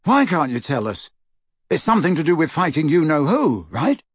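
Man as teenage boy rebel, audiobook character, high pitch, earnest, indignant, insistent, husky. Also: big pitch swings, normal speed, no room sound, studio quality.

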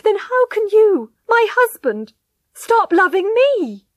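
A woman's voice: sits high, moderate pace, a bit bright, dry recording, studio quality, pitched above the performer's own voice. A woman voicing a distraught, devoted young wife in a dramatic story, pleading and tearful. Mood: anguished desperate. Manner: pleading, breathy whispers rising to anguished cries.

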